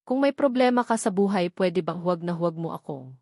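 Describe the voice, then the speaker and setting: A woman's voice: lowish voice, expressive, brisk, a little mellow, no echo. Woman playing a defiant young woman, indignant, argumentative, romance audiobook heroine, dry close sound. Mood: angry. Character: feisty young woman, irritated, defiant fiancée in romance novel.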